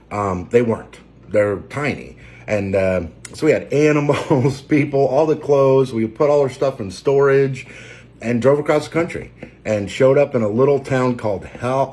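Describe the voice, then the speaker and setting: A man in his forties from Maine with a Maine accent, telling his life story unscripted, halting and close to tears. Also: mid-range voice, a little mellow. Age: 40s. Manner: halting, many fillers and restarts. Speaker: trucker and preacher. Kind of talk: unscripted personal testimony.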